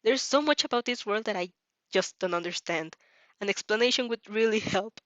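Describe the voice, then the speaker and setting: A woman voicing a friendly, earnest young female vampire in a story read-aloud, chatty and expressive. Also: mid-range voice, brisk, no room sound, natural voice. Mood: friendly, curious.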